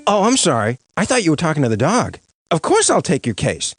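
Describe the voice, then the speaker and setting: High, wildly animated cartoon attorney. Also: male voice, fairly quick. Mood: earnest, surprised. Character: excitable, dim but eager male cartoon attorney. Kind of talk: animated comedy dialogue. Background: music.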